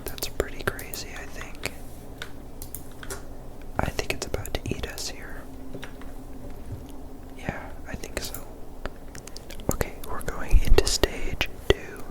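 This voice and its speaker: A woman's voice: slow, long pauses, low-quality recording. Whispering woman, casual ASMR gaming commentary. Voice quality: soft unvoiced whisper. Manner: casual, rambling. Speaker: gameplay video creator.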